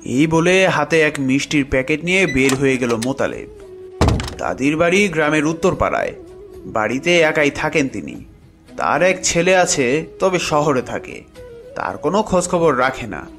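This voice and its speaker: A man's voice: fairly high pitch, fairly bright tone. A man narrating a scripted horror story in a dramatic style.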